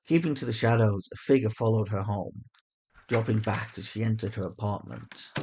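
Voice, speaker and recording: A man reading scripted fiction as a story narrator, with measured, deliberate phrasing and dramatic pauses. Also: fairly low pitch, noisy background.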